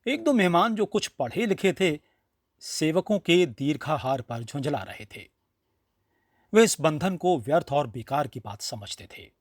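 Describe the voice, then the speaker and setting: A man reading a literary short story as its narrator, in an expressive storytelling style. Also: fairly high pitch, measured pace, dry recording, studio quality.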